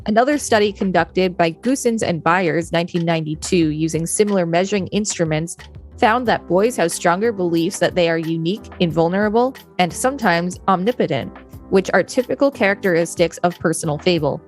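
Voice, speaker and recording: A woman with a slightly low voice co-hosts a podcast. She reads reference material aloud in a measured, explanatory manner. Music plays behind her. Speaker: podcast co-host. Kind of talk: reading an encyclopedia article aloud with brief chat.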